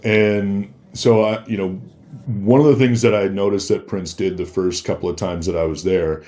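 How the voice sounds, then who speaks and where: A man with a low-pitched voice, talking as a guest on a podcast. He tells stories in a casual, conversational way, slipping into short quoted exchanges as he recounts them. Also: steady tone, moderate pace, dark tone. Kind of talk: casual anecdotal storytelling.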